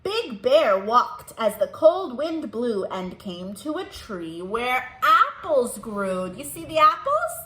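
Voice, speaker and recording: A woman with a high, bright voice reads aloud to young children at an unhurried pace. She is sing-song and animated, putting simple questions to her listeners. The room gives her voice an audible echo. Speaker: children's librarian hosting musical storytime. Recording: roomy echo.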